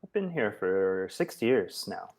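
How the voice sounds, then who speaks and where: Relaxed, encouraging man tutor. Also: fairly low pitch, slow, vocal fry. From United States. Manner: relaxed, encouraging, many short affirmations. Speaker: English tutor. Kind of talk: casual tutoring chat. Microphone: video call.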